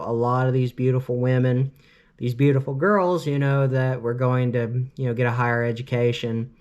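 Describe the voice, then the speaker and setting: A man's voice: mid-range voice, a little mellow, clear, resonant tone, muffled recording. Rambling, earnest man in his late twenties. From Cleveland, Ohio, US. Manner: rambling, constant "you know" fillers; earnest, opinionated. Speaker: vlogger. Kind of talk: casual storytime monologue.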